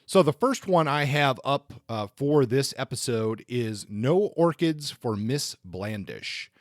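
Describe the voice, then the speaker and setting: A man's voice: medium pitch, lively intonation, slow, dry recording. Casual male podcast host, dry close sound. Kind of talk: casual podcast talk and book review.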